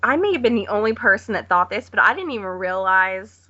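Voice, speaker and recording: A woman with a bright, forward voice at a middling pitch chats casually about films in an offhand, blunt way. The podcast recording sounds somewhat dull and lo-fi. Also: expressive, fairly quick. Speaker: podcast panelist.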